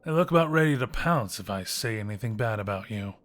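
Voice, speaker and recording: Low, smug fantasy guildmaster Brackus acted by a man. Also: lively intonation, fairly quick, fairly bright tone. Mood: taunting. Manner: theatrical, taunting, mock-courteous. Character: smug, scheming male fantasy adventurers' guildmaster. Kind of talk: fantasy roleplay audio drama.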